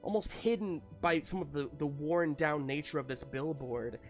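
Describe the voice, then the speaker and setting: A man improvising narration as game master of a tabletop game, piecing scenes together haltingly. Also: on the high side, faint music behind. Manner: halting, searching for words in pieces.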